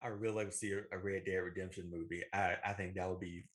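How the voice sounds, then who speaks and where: A man's voice: sits low, level tone, fast talker, a bit bright. A man co-hosting a movie talk show, chatting casually. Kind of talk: casual chat.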